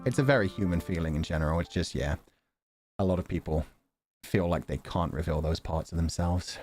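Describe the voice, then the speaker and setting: A man with a fairly low voice reads a visual novel aloud as a streamer. He moves between expressive story narration and candid, informal, reflective commentary, with music playing behind him. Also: fairly quick.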